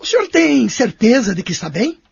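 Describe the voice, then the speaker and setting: A male actor plays a solicitous care-home attendant in an audio drama. The voice is high-pitched, creaky and husky, with formal concern. The recording sounds a little muffled. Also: very animated, a little fast, dry recording, exaggerated voice. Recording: dull lo-fi audio.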